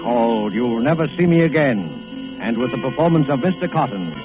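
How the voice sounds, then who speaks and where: A man introducing a 1940s radio drama as its host, scripted, deliberate and portentous. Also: medium pitch, animated. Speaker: mystery show host and narrator. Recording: muffled 1940s radio with music behind.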